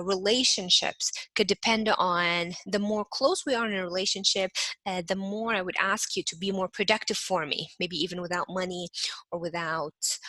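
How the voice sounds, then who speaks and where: A woman's voice: mid-range voice, bright, forceful tone, no room sound, rough recording. A woman from Egypt, giving a casual lecture as an instructor. She explains with everyday examples and keeps checking in with her listeners. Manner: talks to listeners as "you" and checks in with "right?"; frequent fillers and restarts. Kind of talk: lecture to a class.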